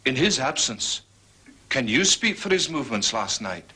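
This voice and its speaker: An actor playing a formal, methodical police inspector in a period detective drama, with ponderous phrasing and a husky voice. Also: male voice, mid-range voice, sing-song, moderate pace, pushed and bright, exaggerated voice. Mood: official, probing. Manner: ponderous wording, methodical questioning.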